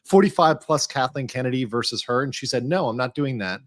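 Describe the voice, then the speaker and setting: A man's voice: mid-range voice, lively intonation, a little fast, no echo, clean studio sound. A man giving casual, analytical legal commentary as a panel guest, explaining points emphatically.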